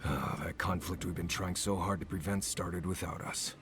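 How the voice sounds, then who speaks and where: Reflective game adventurer, deep register, sober temper. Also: male voice, moderate pace, background noise. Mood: grave. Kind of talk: video game cutscene dialogue.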